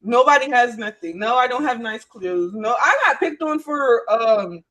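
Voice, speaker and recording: A woman's voice: a little high, animated, normal speed, fairly bright tone, low-quality recording. A woman in her twenties telling stories casually and candidly as an interview guest, emphatic and slangy, repeating phrases for emphasis. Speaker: interview guest, trade program graduate.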